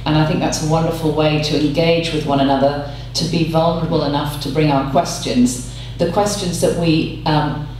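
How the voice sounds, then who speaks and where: Woman on a panel, measured n reflective, big echo, bit of hum. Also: deep voice, steady tone, a little mellow. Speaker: panel speaker, interfaith academic. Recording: echoey hall, distant mic, faint hum.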